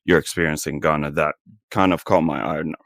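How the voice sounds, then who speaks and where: A man's voice: extremely low pitch, steady tone, fairly quick, vocal fry, a bit bright, dry recording, clean studio sound. A man in his thirties hosting a podcast, chatting casually and breaking in with follow-up questions. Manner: interjecting, asks follow-up questions. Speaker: podcast host. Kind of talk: casual interview chat.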